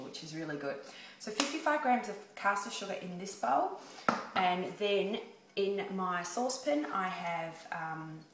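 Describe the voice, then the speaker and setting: A woman, speaking quietly and chattily as she explains a baking recipe at home. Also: on the low side, echoey room, slight background noise. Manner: quiet, chatty and self-deprecating. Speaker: home baker. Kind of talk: baking tutorial. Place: home kitchen.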